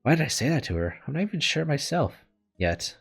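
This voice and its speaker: A man's voice: fairly high pitch, sing-song, brisk, gravelly, slightly rough. A man narrating a visual novel as a let's player, sometimes stumbling and rereading words. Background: music.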